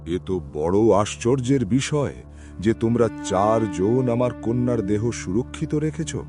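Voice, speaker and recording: A man's voice: lowish voice, animated, a little slow. A man voicing a stately, dramatic king in a cartoon fairy tale. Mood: amazed. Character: astonished, stately king and father. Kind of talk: cartoon story voice acting. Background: music.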